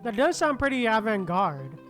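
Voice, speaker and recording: A woman's voice: a little high, sing-song, moderate pace. A woman streaming a game, reading dialogue aloud and reacting in a casual way. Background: game background music.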